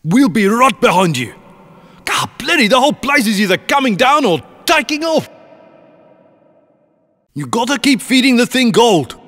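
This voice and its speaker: A cheeky male video game crook, talks high and with big swings in pitch in a reverberant hall. He is a matey, rough-talking ally of the heist crew, casual and exclamatory. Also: sing-song, normal speed, pauses often, pushed and bright, exaggerated voice. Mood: urgent.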